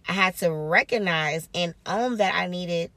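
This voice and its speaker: A woman's voice: fairly low pitch, measured pace, a bit bright, no room sound. A woman hosting her own podcast in a casual, upbeat, reflective way. She repeats phrases for emphasis. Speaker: podcast host. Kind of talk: casual solo podcast talk.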